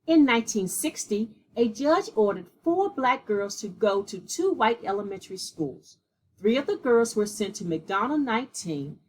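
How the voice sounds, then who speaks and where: Slightly husky woman reading a storybook. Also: a little high, sing-song, moderate pace, rough recording. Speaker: read-aloud storyteller. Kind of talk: scripted narration of a children's picture book.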